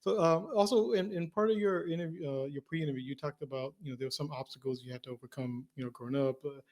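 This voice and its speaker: Quick-talking chatty podcast host man. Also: on the high side, fairly even intonation, darkish tone. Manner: chatty, quick, repeats words. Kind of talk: casual interview questions.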